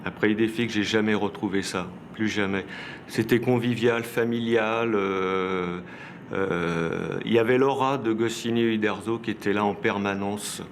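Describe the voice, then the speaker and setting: A man's voice: on the low side, fairly even intonation, moderate pace. A man recalling his years as an animation studio worker in an interview. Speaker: former animation studio staffer. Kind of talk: reminiscing in interview. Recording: faint background noise.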